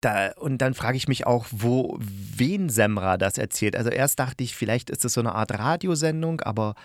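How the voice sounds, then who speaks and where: A man's voice: mid-range voice, normal speed, no echo, soft background music. Casual male podcaster in a dry-sounding recording. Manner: loose, meandering, thinking aloud. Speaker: podcast host and reviewer. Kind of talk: casual spoken radio-play review.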